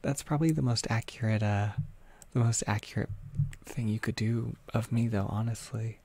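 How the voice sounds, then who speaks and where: A young adult with an American accent chats casually on a livestream, reading and answering comments in a soft-spoken, hesitant, rambling way. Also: mid-range voice, normal speed. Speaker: ASMR audio creator livestreaming.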